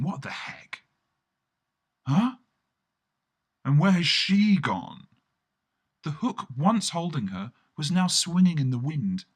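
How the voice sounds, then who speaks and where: A man's voice: mid-range voice, fairly bright tone. A man performing a surreal first-person radio play monologue in a theatrical way, with colloquial asides and sudden exclamations. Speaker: storyteller narrating in first person.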